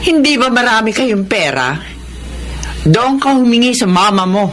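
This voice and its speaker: A dismissive, suspicious aunt in a radio drama. Her highish voice is very animated, with faint noise behind it. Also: measured pace.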